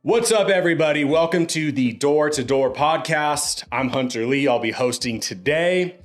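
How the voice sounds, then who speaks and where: A man's voice: fairly high pitch, animated, moderate pace, faint music behind. A young man hosting a podcast in an upbeat, laid-back, chatty way. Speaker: podcast host.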